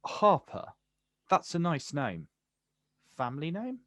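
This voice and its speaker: A man acting a watchful, quietly wounded poet in a naturalistic stage play, speaking deliberately in terse, repeated phrases. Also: on the high side, wildly swooping pitch, no echo, clean studio sound, full character voice. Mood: probing.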